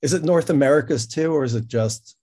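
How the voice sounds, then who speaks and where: A man hosting a casual interview, speaking in a halting way as he thinks aloud. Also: on the high side, mellow, slightly rough. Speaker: interview host. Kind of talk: casual hosted conversation.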